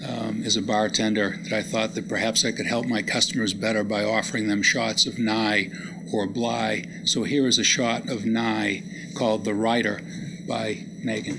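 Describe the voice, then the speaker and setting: Gravelly man lecturing, noisy recording. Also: lowish voice, level tone, moderate pace. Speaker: lecturer introducing poems and readers. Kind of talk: public lecture with introductions. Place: indoor public lecture event.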